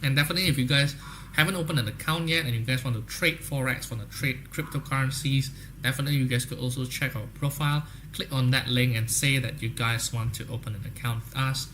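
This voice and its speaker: A man's voice: mid-range voice, steady tone, fast talker, sharp tone, a little hiss or hum. A young man from Indonesia with an Indonesian accent, hosting a live trading stream in casual, salesy chat and often tagging "guys, okay?". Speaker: trading livestream host. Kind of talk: casual livestream chat with viewers.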